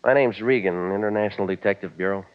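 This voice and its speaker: An actor in 1940s radio drama playing a cynical, wisecracking private eye, terse and deadpan in narration and dialogue. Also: male voice, lowish voice, quick pace, smooth, no room sound, close to an everyday voice. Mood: terse, needling. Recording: muffled vintage 1940s radio with music.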